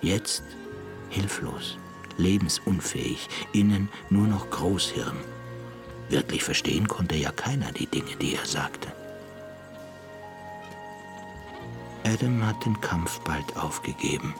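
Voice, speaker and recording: A male actor playing a thoughtful, brooding half-ape who looks back in hushed, measured radio drama narration with a gravelly voice. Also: animated, over music, full character voice. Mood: sombre. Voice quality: gravelly, breathy low voice.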